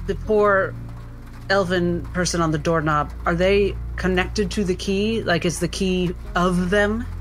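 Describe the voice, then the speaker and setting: A woman playing a tabletop roleplaying game, describing and acting her character in measured, theatrical phrasing. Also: fairly low pitch, fairly even intonation. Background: background music.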